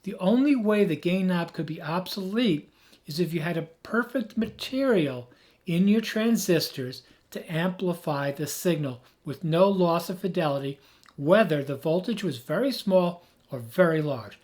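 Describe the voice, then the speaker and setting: A man who has lost his voice, hoarse, giving an emphatic, rambling off-the-cuff tech explanation as a video host. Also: sits high, expressive, measured pace, a little mellow. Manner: emphatic, rambling, with frequent restarts.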